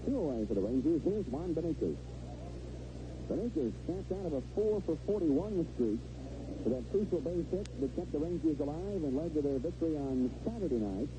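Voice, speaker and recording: Lively, high-pitched male baseball radio announcer, noisy recording. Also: fairly quick. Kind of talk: live sports commentary. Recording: noisy vintage 1970s radio broadcast.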